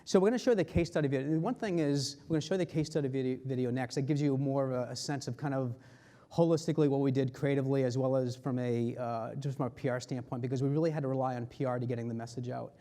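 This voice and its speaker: A man with a dark, mellow voice at a middling pitch gives an informal conference talk. He speaks conversationally as an executive, repeating phrases for emphasis. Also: fairly even intonation, fast talker.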